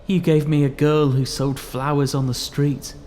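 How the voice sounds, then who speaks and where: An actor playing a proud, lovelorn young poet in an audio drama, earnest and declamatory. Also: male voice, on the high side, level tone, normal speed, darkish tone, slight background noise, lightly acted. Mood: bitter. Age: young adult. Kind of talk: theatrical audio drama acting.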